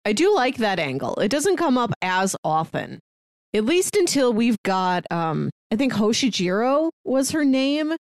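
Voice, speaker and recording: A woman's voice: on the high side, very animated, unhurried, no echo, studio quality. A woman co-hosting a podcast, chatting casually and retelling a story in an informal way with frequent asides. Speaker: podcast co-host. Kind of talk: casual book discussion chat.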